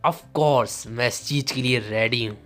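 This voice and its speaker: A man's voice: medium pitch, measured pace, a bit bright, faint music behind, pitched below the performer's own voice, close to an everyday voice. A man voicing a smug, confident young lord with a cocky manner in a manga story.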